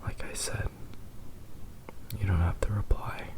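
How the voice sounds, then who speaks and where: A man playing a possessive, doting fox-boy demon lover in a tender, hushed ASMR roleplay, with lingering pauses. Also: close to an everyday voice. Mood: adoring, soothing.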